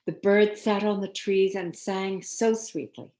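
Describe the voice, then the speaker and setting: A woman's voice: mid-range voice, steady tone, measured pace, dark tone. A woman storyteller reading a children's story aloud, expressively and with dramatic emphasis.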